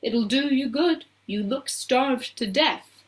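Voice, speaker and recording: Woman playing exuberant, high-pitched eccentric chocolate-maker man. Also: animated, slow. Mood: brisk, kindly. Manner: theatrical, exclamatory, chanting. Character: exuberant eccentric male chocolate-maker, gleefully manic and commanding.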